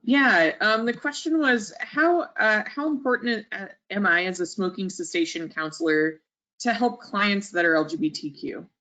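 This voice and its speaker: A woman's voice: mid-range voice, moderate pace, bright, forceful tone. A woman reading out audience questions hesitantly, with many fillers, over a video call. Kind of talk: webinar moderation.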